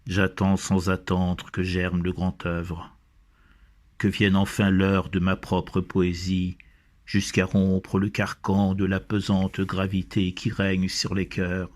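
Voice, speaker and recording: A man reciting poetry in a solemn style, with measured, deliberate phrasing. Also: deep voice, completely flat delivery, darkish tone.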